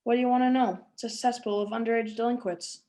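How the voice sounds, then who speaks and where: Bold teenage boy, read by a woman, highish voice. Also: fairly even intonation, fast talker, warm tone, close to an everyday voice. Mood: frank. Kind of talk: table read of a script.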